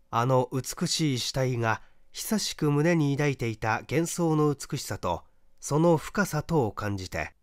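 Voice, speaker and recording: Man narrating mystery fiction, expressive pitch. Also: no echo, clean studio sound. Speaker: audiobook narrator, stage actor. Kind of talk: scripted narration of a mystery short story.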